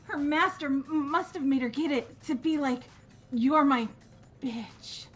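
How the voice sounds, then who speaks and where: Woman as a high, stammering bookish schoolgirl. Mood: scornful. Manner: halting, stammering. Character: nervous, gloomy, sharp-tongued teenage schoolgirl bookworm. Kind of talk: fan-dubbed video game character acting. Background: game music.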